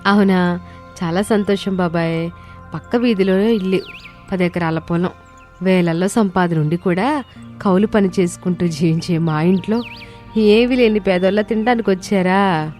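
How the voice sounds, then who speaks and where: A woman voicing a shrewd, sarcastic young village daughter-in-law in an animated moral story, outwardly polite but firm. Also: on the low side, moderate pace, pauses often, soft background music, close to an everyday voice. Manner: firm, slyly sweet.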